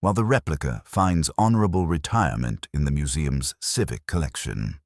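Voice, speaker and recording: Man reading as a deep, formal inspector. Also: brisk, dry recording, clean studio sound. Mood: composed, official. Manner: formal, composed. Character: official, composed male police inspector giving verdicts. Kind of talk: detective story dialogue.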